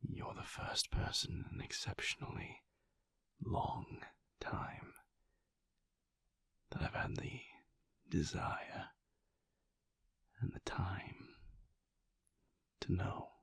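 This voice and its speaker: A man playing a mysterious, brooding vampire lover in an intimate audio roleplay, tender and hesitant, with halting, formal speech in a husky, breathy whisper. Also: deep voice, huge pitch swings, fairly bright tone, dry recording, clean studio sound, exaggerated voice. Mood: somber, confessional. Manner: halting, measured, formal wording.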